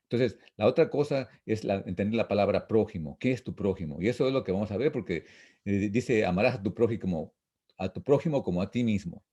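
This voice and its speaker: A man teaching an informal Bible lesson, reading and explaining verses in a repetitive, halting, didactic way. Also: fairly low pitch, warm tone, slightly rough. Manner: didactic, repetitive, halting with frequent false starts.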